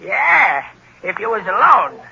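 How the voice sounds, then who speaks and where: An actor playing a cowed, anxious underling crook with ungrammatical talk in a 1930s radio drama. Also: male voice, high-pitched, big pitch swings, slow, gravelly, bright, forceful tone, breathy edge, exaggerated voice. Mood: nervous. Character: cowed, nervous male crook, pleading and dim. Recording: noisy, muffled 1930s radio recording.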